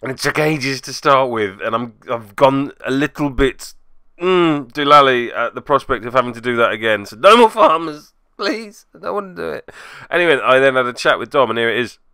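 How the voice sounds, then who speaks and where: A man's voice: mid-range voice, lively intonation, brisk, pushed and bright, clean tone, no room sound, clean studio sound. A man giving wry, self-deprecating voice-over commentary on a tabletop game, with joking asides, as its host.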